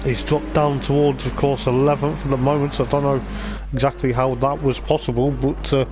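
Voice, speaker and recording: A man with a voice going hoarse, giving rambling live race commentary on a stream, with lots of hesitant fillers. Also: medium pitch, flat delivery, moderate pace, noisy background. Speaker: sim racing league commentator.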